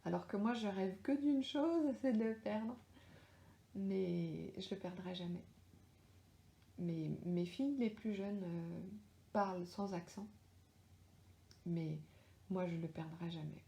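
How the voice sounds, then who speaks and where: A middle-aged woman speaks with a strong French accent in a mellow, relaxed tone, chatting with readers and stopping often to search for words. Her voice carries a noticeable echo from the room at home where she records. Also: mid-range voice, takes it slowly, gravelly, long pauses. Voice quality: hesitant, many fillers and restarts. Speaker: author answering readers live. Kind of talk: casual live Q&A chat. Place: home, lives in Australia.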